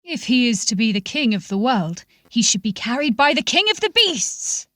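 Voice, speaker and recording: A woman's voice: fairly high pitch, very animated, normal speed, bright, forceful tone, no echo. Storybook lion king, played by a woman: regal, self-important, declamatory, dry close recording. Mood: proud.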